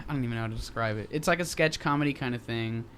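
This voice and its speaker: A man in a casual podcast chat, chatty and quick to agree. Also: mid-range voice, a bit bright, smooth.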